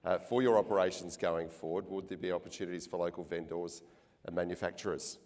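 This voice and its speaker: A man hosting an on-stage interview, asking questions in a relaxed, conversational way with many fillers. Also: low voice, fast talker. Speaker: event host interviewer. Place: large function hall. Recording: long hall reverb.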